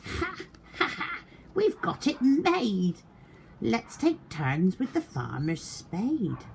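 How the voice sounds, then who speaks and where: A woman's voice: a little high, huge pitch swings, slow, vocal fry, a little hiss or hum, voice pushed up, full character voice. Lady doing a lanky greedy crook guy, gullible and gleeful, all over the place, kids story. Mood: gloating. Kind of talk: children's storybook read aloud.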